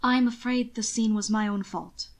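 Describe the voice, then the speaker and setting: A woman voicing a languid, cunning young man who feigns innocence with soft, deliberate speech, in an audiobook reading. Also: a little high, steady tone, lightly acted. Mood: mock forlorn. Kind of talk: amateur audiobook character reading.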